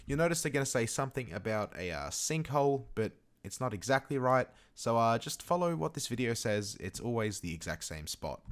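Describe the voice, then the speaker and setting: A man's voice: medium pitch, big pitch swings, a little fast, hardly any pauses. A man narrating a video game tutorial in a casual, chatty way, with emphatic, informal asides. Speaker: gaming video creator presenting a guide.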